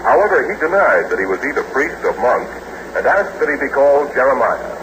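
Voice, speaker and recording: A man announcing and narrating a 1940s radio serial in a measured, descriptive way, on a noisy old broadcast. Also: mid-range voice, big pitch swings.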